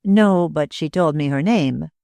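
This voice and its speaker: A reader voicing a composed young gentleman who speaks dryly and with satire. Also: medium pitch, measured pace, vocal fry, no room sound. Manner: dry, satirical.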